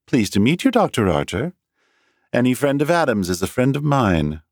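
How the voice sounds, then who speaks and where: A man's voice: mid-range voice, very animated, moderate pace, dry recording, clean studio sound, voice pushed up. A man doing a gracious young society woman. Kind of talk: audiobook character reading.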